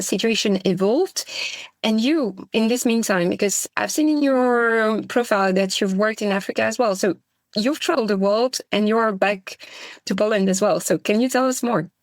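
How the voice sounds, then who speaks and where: A woman's voice: mid-range voice, no room sound. A woman hosting a podcast, framing long, curious questions for her guest. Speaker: podcast host. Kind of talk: interview questions.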